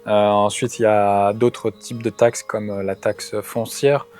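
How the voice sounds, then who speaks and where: A man in his thirties from England, a guest in a casual discussion, talking hesitantly with many pauses and fillers. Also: on the low side, little pitch movement, darkish tone, faint music behind. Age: 30s. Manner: hesitant, frequent "euh" fillers.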